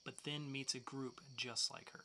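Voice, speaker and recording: A man's voice: medium pitch, level tone, normal speed, bright, forceful tone. A man co-hosting a podcast in casual banter, speaking loosely and swearing freely. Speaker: podcast co-host.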